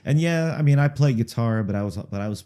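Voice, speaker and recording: A man chatting in an interview about his music, talking in a halting way with lots of fillers, restarts and repeated words, chuckling now and then. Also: mid-range voice, lively intonation, dark tone. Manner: chuckles through stories. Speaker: musician guest. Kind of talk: casual rambling interview storytelling.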